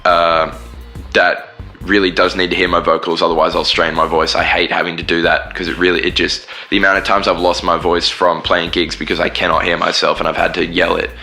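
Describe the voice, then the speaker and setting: Low voiced aussie singer guy rambling thru his answers, forceful tone. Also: flat delivery, a little fast, creaky, soft background music. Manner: rambling, many fillers and restarts. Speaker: band singer and guitarist. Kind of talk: casual interview chat.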